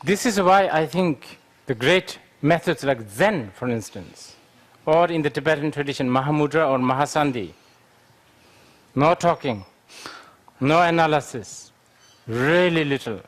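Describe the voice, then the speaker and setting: A man answers questions at a Buddhist lecture, his voice sitting a touch high. He talks unhurriedly, thinking aloud with frequent fillers. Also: a little slow.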